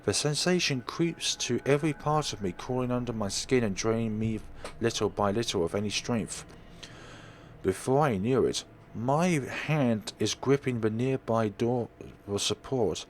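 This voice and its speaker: A man reading a horror story game aloud as a let's play host, in an expressive, theatrical storytelling manner. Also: mid-range voice, unhurried, slight background noise. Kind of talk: dramatic scripted narration with asides.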